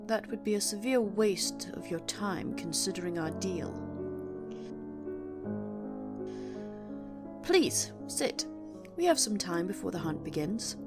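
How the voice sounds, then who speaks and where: A woman playing an imperious, centuries-old but young-looking female vampire, speaking in a formal, measured, haughty way in an audio roleplay. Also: mid-range voice, lively intonation, natural voice. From England. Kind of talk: audio roleplay monologue to the listener. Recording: music under the voice. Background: plucked guitar music.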